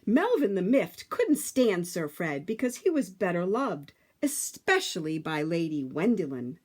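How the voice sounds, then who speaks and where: A woman reading a picture book aloud to children, with dramatic, emphatic phrasing and drawn-out words. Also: lowish voice, very animated, darkish tone, husky.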